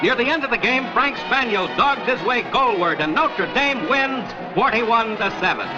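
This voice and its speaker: High-pitched 1940s newsreel sports man. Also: expressive, moderate pace. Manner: dramatic, punchy, declamatory delivery. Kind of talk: 1940s newsreel football commentary, scripted narration. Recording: dull vintage 1940s film soundtrack. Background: loud music.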